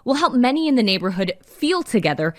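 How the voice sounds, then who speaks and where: A woman's voice: on the high side, very animated, fast. A woman reporter narrating a scripted television news package.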